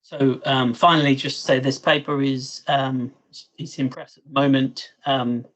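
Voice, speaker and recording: A man giving a scientific presentation in an online meeting, halting and often repeating words as he explains. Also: medium pitch, level tone, a bit bright, rough recording. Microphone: online meeting microphone.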